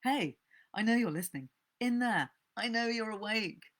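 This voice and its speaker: A man's voice: high voice, takes it slowly, gravelly, pushed and bright, voice pushed up, heavily acted. A reader voicing a drunk, menacing man with a Scottish accent who spits out his words and raves between coughing fits. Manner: slurred, spitting, raving.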